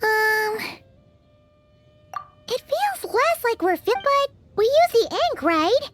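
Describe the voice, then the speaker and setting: Tiny childlike game sidekick: very high squeaky female voice, bouncy intonation, perky, chatty. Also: big pitch swings, slow pace, music in the background, exaggerated voice. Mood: thoughtful. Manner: perky, casual.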